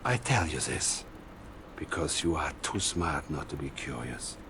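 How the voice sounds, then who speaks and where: An actor playing a sinister, scheming psychic advisor in a game cutscene, speaking softly and menacingly, often close to a whisper. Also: male voice, extremely low pitch, very animated, unhurried, gravelly, background noise, full character voice. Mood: confiding. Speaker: Soviet military advisor. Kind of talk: video game cutscene acting.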